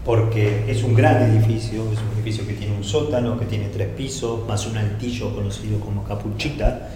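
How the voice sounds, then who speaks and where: A man's voice: fairly low pitch, fairly even intonation, normal speed. A man with an Argentine accent giving a spontaneous, explanatory guided tour of a site. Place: indoors, large building stairwell while walking. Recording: echoey stairwell and halls, background noise.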